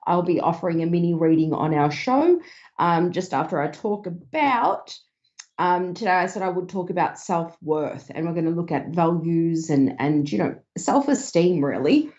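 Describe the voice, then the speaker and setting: A woman hosting a psychic advice show, a little hoarse and talking earnestly with many fillers. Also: lowish voice, lively intonation, normal speed, a little mellow. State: slightly hoarse, losing her voice. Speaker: psychic radio host. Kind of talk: casual advice talk. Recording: dull lo-fi stream.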